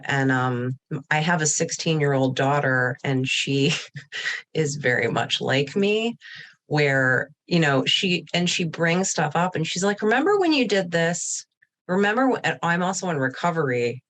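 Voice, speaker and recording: A woman's voice: sits low, fairly bright tone. Middle-aged female poet, unscripted personal reflection, earnest and confiding. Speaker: contributing poet. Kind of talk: candid, personal spoken reflection.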